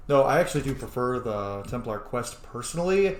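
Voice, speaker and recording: A man's voice: medium pitch, expressive, a little fast. Man doing casual gaming commentary, echoey room. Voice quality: swears casually. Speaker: gamer playing and commenting on a video game. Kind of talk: casual gameplay commentary, reading choices aloud. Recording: echoey room, faint background noise.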